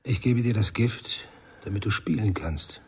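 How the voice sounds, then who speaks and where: An actor playing a mysterious, controlling drug giver with a very husky voice in a radio crime drama. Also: male voice, lowish voice, a little slow, a little mellow. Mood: calmly ominous. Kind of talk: 1990s German radio crime drama acting.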